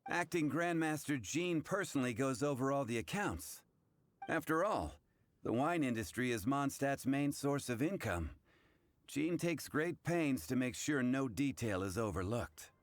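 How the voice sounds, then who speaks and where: A man's voice: mid-range voice, expressive, unhurried, no room sound, lightly acted. A man playing a blunt, sardonic tavern bartender in an anime video game. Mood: matter-of-fact. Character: blunt, wry male tavern bartender, adult. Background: music.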